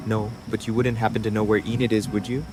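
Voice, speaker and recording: A man's voice: fairly low pitch, level tone, fast, close to an everyday voice. A man acting a grumbling, reluctant amateur singer in an audio drama. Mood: disgruntled. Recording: loud music over voice.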